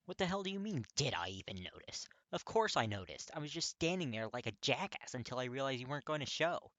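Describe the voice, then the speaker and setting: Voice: medium pitch, sing-song, quick pace, a bit bright, no room sound, voice pushed up. A narrator as a harsh, furious teenage boy. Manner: harsh, abrupt, aggressive. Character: abrasive, hot-tempered, foul-mouthed teenage boy.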